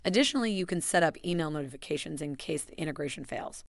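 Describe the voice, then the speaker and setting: A woman giving a scripted, even voice-over that walks through software steps. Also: sits low, quick pace, no echo, clean studio sound. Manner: even, instructional delivery. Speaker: software tutorial narrator.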